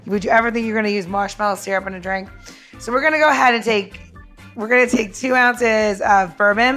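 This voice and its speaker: A woman with a fairly high voice talks through a cocktail recipe in a loose, chatty way, dropping fillers as she goes. Faint music sits in the background. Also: a bit bright. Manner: chatty, loose, full of "you know" and "um". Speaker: podcast co-host and cocktail maker. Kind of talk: casual cocktail demonstration.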